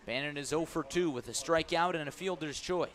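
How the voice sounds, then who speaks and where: A man calling a baseball game live on radio as the play-by-play announcer, describing each pitch in detail. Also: fairly quick. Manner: descriptive, excited calls rising on big plays. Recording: clear background noise at ballpark. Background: ballpark ambience.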